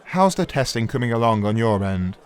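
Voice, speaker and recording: A man's voice: medium pitch, big pitch swings, a little fast, a faint crowd, close to an everyday voice. A man playing a flamboyant, cocky lead programmer who teases his old colleague in an audio drama. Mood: teasing, cocky. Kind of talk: audio drama character dialogue.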